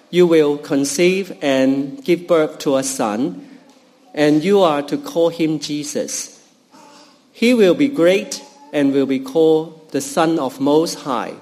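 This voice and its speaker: A man whose first language is Cantonese, reading a Bible passage aloud in church, halting and careful, with fillers and restarts. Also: a little high, darkish tone. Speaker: scripture reader.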